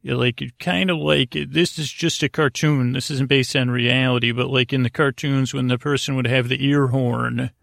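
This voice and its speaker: A man hosting a bedtime podcast in a creaky, lulling voice, meandering and halting as he repeats and interrupts himself. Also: mid-range voice, steady tone, a bit bright, dry recording, studio quality. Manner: lulling, soothing. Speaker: podcast host. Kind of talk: rambling, improvised bedtime podcast monologue.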